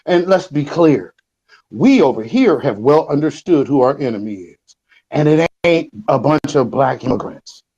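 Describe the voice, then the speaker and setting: A man's voice: on the high side, lively intonation, normal speed, a little mellow, breathy edge. A man ranting heatedly at listeners in an online audio room, scolding and confrontational. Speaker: caller in an audio chat room. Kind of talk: heated rant. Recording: muffled lo-fi audio.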